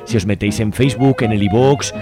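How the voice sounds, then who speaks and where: A man's voice: on the low side, fast talker, music in the background. A man with an Aragonese Spanish accent hosts a radio show, talking in a conversational way with colloquial asides. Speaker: radio host. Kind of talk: casual radio chat.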